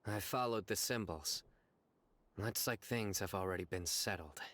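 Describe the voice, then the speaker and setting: A man's voice: sits low, measured pace, fairly bright tone, dry recording. A man playing a stern young law enforcer who speaks tersely and steadily. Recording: game audio with faint music.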